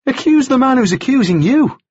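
Audiobook role: fiery, distraught young woman acted by a man, high-pitched, sharp retorts, slightly husky. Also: animated, fairly quick, dull audio, exaggerated voice. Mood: indignant, fiery. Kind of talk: audiobook character acting.

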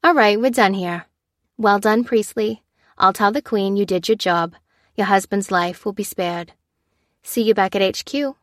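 A woman's voice: medium pitch, measured pace, dry recording, studio quality, natural voice. A woman voicing a ruthless female villain in an audiobook, giving commanding, mocking orders. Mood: brisk, commanding. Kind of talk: dramatised audiobook dialogue.

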